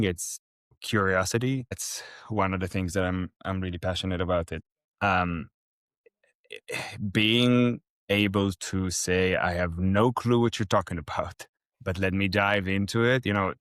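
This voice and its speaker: A man, a founder talking reflectively as a podcast guest, pausing often with fillers. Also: low-pitched, fairly bright tone, no echo, clean studio sound. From Israel. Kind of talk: podcast interview.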